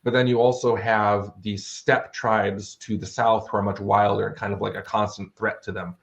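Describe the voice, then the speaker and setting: A man with a fairly low voice talks as a guest author in an interview. He explains things in an articulate, bookish but conversational manner. The recording is a little dull and lo-fi. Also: level tone, normal speed. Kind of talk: conversational explanation of fantasy worldbuilding.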